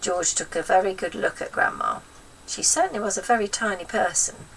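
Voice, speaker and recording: A woman reading a children's story aloud as an expressive narrator. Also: mid-range voice, brisk, gravelly, recorded from a distance, slight background noise, poor audio. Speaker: storybook reader.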